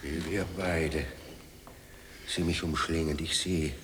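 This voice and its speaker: A man's voice: very deep voice, fairly even intonation, takes it slowly, heavy background noise. A man in a German radio drama playing an ageing drunken writer, bitter and self-mocking, theatrical, moving between muttering and outbursts. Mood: dreamy, murmuring.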